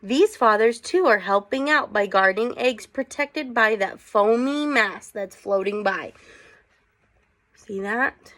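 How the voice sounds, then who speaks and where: A woman's voice: a little high, takes it slowly, a bit bright. A woman teacher reading aloud to children, with chatty asides and questions for her young listeners.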